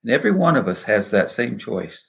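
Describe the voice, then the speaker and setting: A man speaking in a measured, earnest, deliberate way, in the style of a devotional poetry reading and reflection. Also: fairly low pitch, very animated, creaky, muffled recording. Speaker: minister and poet reading own poem.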